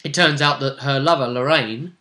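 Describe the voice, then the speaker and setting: A man with a bright, forceful voice at a middle pitch talks as a game master. He recaps a tabletop session casually, with a jokey, theatrical streak. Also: moderate pace.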